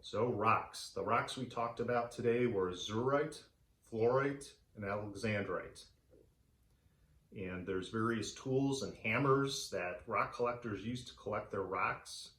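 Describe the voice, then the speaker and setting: A man's voice: fairly low pitch, a little slow. Echoey male children's storyteller. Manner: animated, theatrical delivery for young listeners. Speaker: storyteller reading a picture book to children. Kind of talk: expressive read-aloud storytelling.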